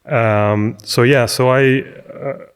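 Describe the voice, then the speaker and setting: A man's voice: medium pitch, fairly even intonation, drawn-out words, clear, resonant tone. Brazilian-born man, reflective, echoing hall. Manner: thoughtful, searching for words with many fillers and restarts. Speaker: podcast guest, business analyst. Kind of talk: conversational interview.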